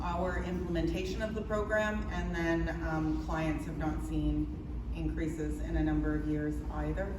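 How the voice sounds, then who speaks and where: A woman who is a regional government director, explaining programs at a panel with a Canadian accent and frequent fillers. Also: fairly low pitch. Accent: Canadian English. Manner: explanatory, acronym-heavy, with fillers. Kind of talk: panel presentation. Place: community meeting room. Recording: echoey hall from far off, noisy.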